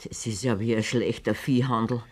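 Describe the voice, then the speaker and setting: An actress with a Bavarian accent playing a wronged, defiant middle-aged farmer's wife with sharp, biting retorts in a radio play. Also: female voice, extremely low pitch, steady tone, moderate pace, slightly rough. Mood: bitter.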